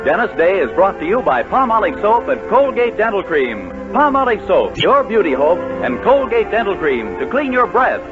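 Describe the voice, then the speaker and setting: A man's voice: high-pitched, sing-song, moderate pace, few pauses, music in the background. An American man announcing and selling on a 1940s radio show, with polished, emphatic delivery. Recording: muffled old 1940s radio broadcast.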